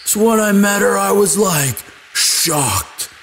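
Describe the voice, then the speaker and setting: Man acting a slow-talking, sweet himbo boyfriend. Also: sits high, sing-song, fairly bright tone, a little hiss or hum, full character voice. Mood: smitten, excited. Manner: slow, dude-ish drawl full of likes and sighs. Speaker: audio roleplay performer. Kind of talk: intimate boyfriend roleplay, casual chat.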